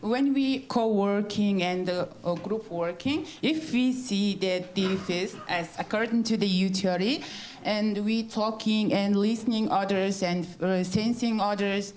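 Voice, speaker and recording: A woman from Mongolia, a student giving a short prepared speech at a university event, slow and halting as she searches for words in English. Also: medium pitch, darkish tone, a little hiss or hum. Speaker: student presenter.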